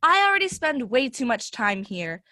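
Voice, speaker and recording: A woman playing an eager young schoolgirl in a stage play, loud and whiny. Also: a little high, sing-song, normal speed, no room sound, studio quality. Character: eager young girl Red, Sam's classmate.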